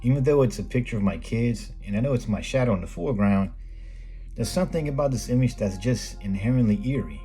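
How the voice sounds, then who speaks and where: A middle-aged American man reading a scripted voice-over in a measured, plain explanatory way, as the host of a photography video. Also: mid-range voice, animated, mellow. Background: background music.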